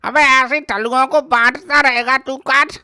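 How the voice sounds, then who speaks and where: A man voicing a grumpy, sarcastic village uncle in a cartoon comedy, scolding bluntly in a pitched-up rustic voice. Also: fairly even intonation, moderate pace, bright, forceful tone, smooth, full character voice. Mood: grumbling. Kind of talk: comic cartoon dialogue.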